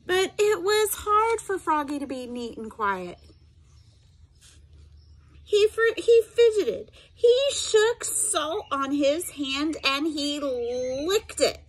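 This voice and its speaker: A woman's voice: high voice, expressive, takes it slowly. A middle-aged woman reading a picture book aloud to children, expressively, with simple explanatory asides. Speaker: special education teacher reading aloud.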